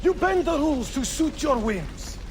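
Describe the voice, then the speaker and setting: A man's voice: very high voice, big pitch swings, normal speed, slight background noise. An actor playing a proud, hot-tempered male assassin rival in a video game, declaiming with bitter indignation. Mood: bitter, accusing. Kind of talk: dramatic video game cutscene acting.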